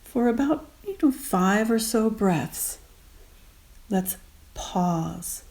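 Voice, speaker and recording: A woman guiding a meditation gently and unhurriedly in short spaced phrases, with soft, breathy endings. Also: mid-range voice, animated, extremely slow. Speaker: meditation guide. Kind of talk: guided meditation.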